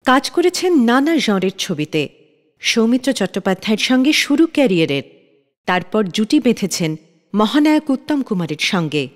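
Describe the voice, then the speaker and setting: Woman, radio host, scripted narration, animated intonation, heavy reverb. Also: medium pitch, a little fast.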